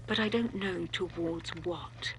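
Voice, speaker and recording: A woman's voice: fairly low pitch, measured pace, background noise. A woman playing an earnest, uneasy child therapist in a video game. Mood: shaken, hushed.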